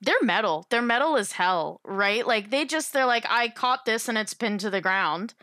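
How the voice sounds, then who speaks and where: A woman's voice: a little high, lively intonation, moderate pace, pushed and bright, clean tone, no echo, clean studio sound. A woman chatting casually about tabletop gaming in an enthusiastic, emphatic, colloquial way.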